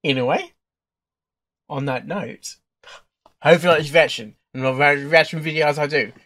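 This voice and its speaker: A man hosting a reaction video with casual, informal commentary, halting and rambling as he thinks aloud. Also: fairly high pitch, fairly bright tone. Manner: halting, repeats and rambles through thoughts. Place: indoors at a computer.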